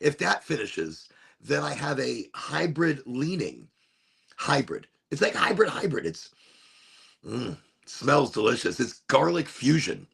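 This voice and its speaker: A man hosts a relaxed livestream, his voice hoarse and worn from overuse. His tone sits a little high and crackles with a gravelly, husky grain. He rambles cheerfully, circling back and repeating himself as he chats. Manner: upbeat, rambling, repetitive. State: losing his voice. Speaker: livestream host. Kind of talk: casual livestream chat.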